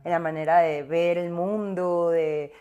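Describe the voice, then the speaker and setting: A woman's voice: on the low side, fairly even intonation, a little slow, mellow, muffled recording. A young woman from Caracas with a Venezuelan accent, a singer talking reflectively as an interview guest, with fillers and restarts. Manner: thoughtful, winding, many fillers and restarts.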